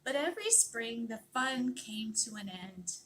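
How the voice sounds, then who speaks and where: A woman reading a children's picture book aloud in an expressive, dramatic storytelling manner, leaning on key words and drawing them out. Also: a little high, unhurried, a little hiss or hum, rough recording. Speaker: storybook reader.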